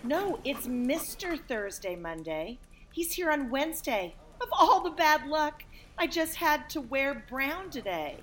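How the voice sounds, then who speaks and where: A woman plays a sardonic young office worker in a comedy audio play. Her voice sits fairly high and swings widely as she gets wry, exasperated and melodramatic. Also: very animated, measured pace. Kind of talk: comic audio play acting.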